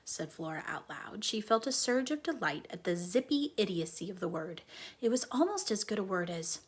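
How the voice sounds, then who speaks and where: A woman reading a children's book aloud as a dramatic storyteller, often dropping into a breathy hush. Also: mid-range voice, very animated, normal speed. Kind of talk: expressive children's book narration.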